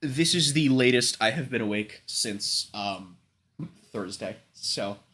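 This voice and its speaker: A man's voice: mid-range voice, animated, slow pace, a bit bright. A man who is sick and congested, coughing now and then as he rambles through a casual livestream chat, swearing often and filling gaps with ums. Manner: rambling, frequent swearing and filler words. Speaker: livestream host.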